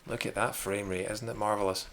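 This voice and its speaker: A man's voice: fairly low pitch, fairly even intonation, fairly quick, fairly bright tone, slight background noise. A man with a mild Scottish accent, casually commenting on his own gameplay during a live stream, wry and muttering to himself. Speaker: game streamer. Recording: game music playing behind.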